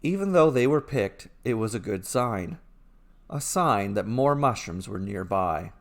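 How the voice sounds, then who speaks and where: Man narrating a fairy tale, measured phrasing. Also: lowish voice, animated. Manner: measured storybook phrasing with short dramatic sentences. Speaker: storyteller, writer reading own fairy tale.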